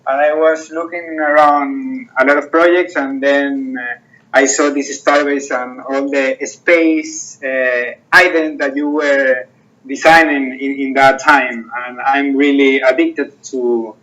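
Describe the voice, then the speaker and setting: A man, the project owner, talking about his project in a casual interview and filling pauses with lots of "uh"s. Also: medium pitch, steady tone, fairly bright tone, muffled recording. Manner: hesitant, many fillers and restarts.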